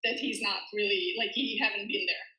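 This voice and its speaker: A woman's voice: mid-range voice, steady tone, brisk, bright, forceful tone. Young israeli woman, guest on a chat show, chatty, keeps laughing and saying like, echoey room. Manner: chatty, laughs often, leans on "like". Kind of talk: casual storytelling.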